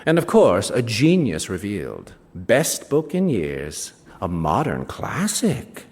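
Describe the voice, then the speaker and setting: An actor playing a smug, ageing novelist, reading a short story on stage with wry, self-satisfied, theatrical emphasis. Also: male voice, fairly low pitch, huge pitch swings, slow pace, a little mellow, full character voice. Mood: wry relish. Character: smug ageing novelist recounting his betrayal of a friend. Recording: reverberant hall, live stage reading.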